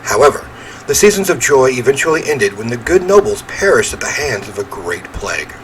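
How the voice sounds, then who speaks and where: A man with a lively, wide-swinging delivery hosts a horror visual novel playthrough. He rambles casually and pokes fun at himself, shifting into read-aloud narration, with clear background noise on his home microphone. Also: mid-range voice, a little fast. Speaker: gaming host playing a horror visual novel. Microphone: standalone microphone at a desk. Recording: noisy home gaming recording.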